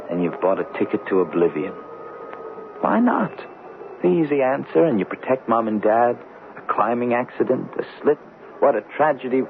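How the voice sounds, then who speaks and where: A young man facing death in an old radio drama. He is anguished and bitter, brooding aloud theatrically in a lowish voice. The recording is thin and clearly noisy. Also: narrow, tinny audio. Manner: theatrical, brooding self-talk.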